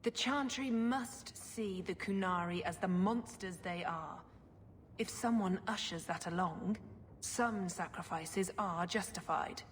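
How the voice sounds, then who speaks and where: An actress playing a zealous, scheming religious sister in a video game, poised, formal and condescending. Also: female voice, lowish voice, takes it slowly, slight background noise. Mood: cold conviction. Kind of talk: video game cutscene acting. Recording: long hall reverb, music behind.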